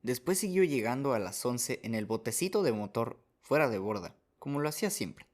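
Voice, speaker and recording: A man's voice: mid-range voice, sing-song, normal speed. A man reading scripted first-person story narration as the narrator of a radio drama.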